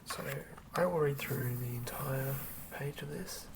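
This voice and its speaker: ASMR host, breathy whisper, very unhurried, gravelly fry, gentle delivery for tabletop fantasy. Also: medium pitch, noisy background. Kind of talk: whispered ASMR, chatting and reading aloud from a game manual.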